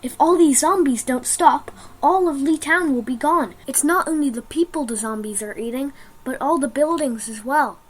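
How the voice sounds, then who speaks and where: A woman's voice: sits high, moderate pace, hardly any pauses, lightly acted. A woman voicing a panicked, fed-up male government minister fleeing zombies in a dramatic, exclamatory way. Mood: alarmed.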